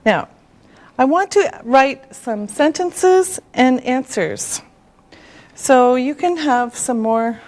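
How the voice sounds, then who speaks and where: A woman teaching an English class, speaking slowly and deliberately with clear enunciation. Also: high voice, lively intonation, darkish tone. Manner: slow and deliberate, drawing out words.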